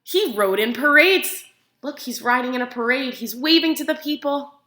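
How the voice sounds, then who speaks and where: A woman teacher reads a picture book aloud to preschoolers in an animated, expressive way, using simple phrases and putting questions to her young listeners. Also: sits high, normal speed. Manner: animated, expressive, simple child-directed phrasing with gasps for effect. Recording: echoey room sound.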